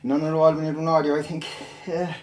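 A man's voice: fairly high pitch, level tone, quick pace. A man talks in loose, rambling bursts while he works through exercises. He breathes hard between phrases and breaks into laughter often. His tone is casual, like he's talking to regular listeners. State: out of breath and sweaty. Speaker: online audio creator. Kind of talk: casual chat while working out.

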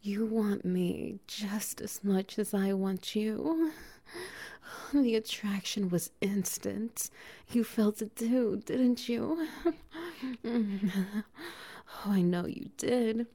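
A woman voicing a doting, dominant female bear-woman, whispering softly and teasing with breathy laughs in an intimate audio roleplay. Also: mid-range voice, drawn-out words. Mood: sultry, doting.

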